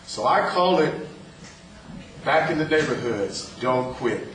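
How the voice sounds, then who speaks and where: A man in his fifties with a loud voice, reciting a poem to a rally. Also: medium pitch, expressive. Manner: loud, declaiming with slow emphatic delivery. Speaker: rally speaker. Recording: echoey, distant, with clear background noise.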